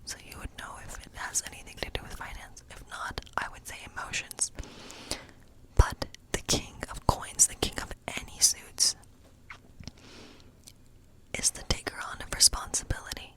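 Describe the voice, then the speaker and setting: A woman whispering an ASMR tarot reading as a gentle witch, musing aloud in an unhurried way. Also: rough recording. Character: gentle fortune-telling witch.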